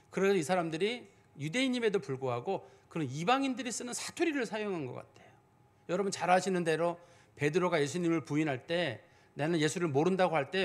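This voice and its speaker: A male pastor giving a sermon, explaining in a conversational way and asking rhetorical questions. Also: high-pitched.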